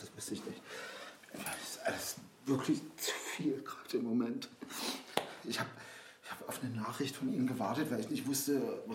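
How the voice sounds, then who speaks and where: An actor playing a stressed, evasive politician who pleads haltingly and apologetically in a naturalistic radio drama. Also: male voice, medium pitch, natural voice. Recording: roomy with clear background noise.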